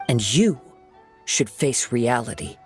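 An actor playing a calm, principled young wandering samurai in a video game, speaking in composed, formal phrases with a husky voice. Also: male voice, medium pitch, slow, soft background music. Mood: reproving. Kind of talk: dramatic video game voice acting.

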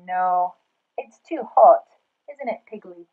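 A woman's voice: fairly low pitch, slow, phone-quality sound. A woman voicing a playful, stubborn little pig in a bedtime storybook, with emphatic childlike refusals. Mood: playfully stubborn.

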